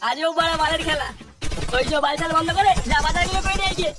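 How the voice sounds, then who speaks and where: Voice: extremely high pitch, very fast, heavy background noise. পিচ বাড়ানো গলায় একজন অভিনয়শিল্পী কার্টুন কমেডির এক বদমেজাজি, গালিবাজ মাঝবয়সি বাবার চরিত্র করছে।. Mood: angry scolding. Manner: scolding, blustering, crude. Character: irascible foul-mouthed middle-aged village father. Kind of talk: exaggerated cartoon comedy dialogue. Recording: distant sound with music behind.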